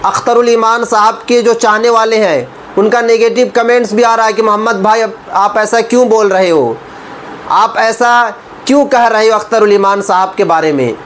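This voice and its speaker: A man's voice: high-pitched, moderate pace, fairly bright tone. Male political commentator, emphatic and repetitive, rhetorical questions, some background noise. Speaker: political commentary video creator. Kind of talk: opinionated political news commentary to camera.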